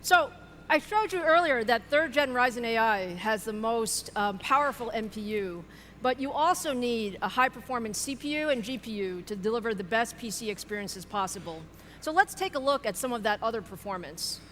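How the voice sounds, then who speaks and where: A woman's voice: on the high side, lively intonation, normal speed, a bit bright. A woman giving a polished, upbeat keynote presentation, introducing products and partners on stage. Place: large keynote hall. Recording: large hall reverb with faint noise.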